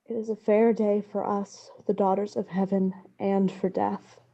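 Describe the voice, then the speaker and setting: An actress playing an ailing woman scholar obsessed with dark magic, intense and portentous, in a gothic radio play. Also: mid-range voice, flat delivery, a little slow, dull audio, noisy background, close to an everyday voice. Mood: hushed, fateful. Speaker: actress in an audio drama.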